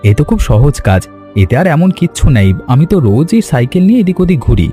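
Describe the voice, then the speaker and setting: Actor playing an eager, helpful young village man, mellow. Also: fairly high pitch, big pitch swings, fast talker, faint music behind. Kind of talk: animated story dialogue.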